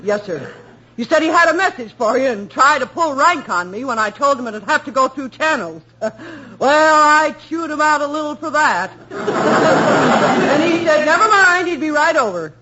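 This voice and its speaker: An actress playing a brusque housekeeper who used to be an army sergeant's wife and barks military jargon, in 1940s radio acting on a dull old broadcast recording. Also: female voice, high-pitched, expressive, moderate pace, pushed and bright, slight background noise. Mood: barking, brisk.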